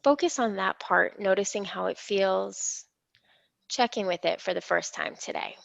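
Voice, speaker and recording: A woman leading a guided imagery meditation in a soothing, unhurried, reassuring manner. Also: medium pitch, vocal fry, no room sound, muffled recording. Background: gentle instrumental music.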